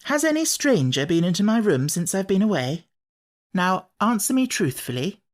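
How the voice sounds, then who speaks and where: A man's voice: sits high, big pitch swings, normal speed, pitched above the performer's own voice. A man voicing a genteel mother in an audiobook, proper and kindly but firm and anxious. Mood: gently firm. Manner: proper, questioning, motherly authority. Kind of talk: audiobook character acting.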